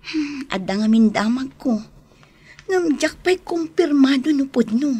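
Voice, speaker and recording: A woman's voice: sits high, expressive, a little slow, dark tone. A woman playing a resigned, aggrieved young wife separated from her husband in a radio drama, with an Ilocano accent. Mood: guarded.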